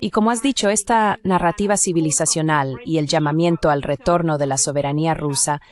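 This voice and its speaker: A woman's voice: sits low, brisk. A woman speaking as an academic expert, giving explanatory answers in an interview.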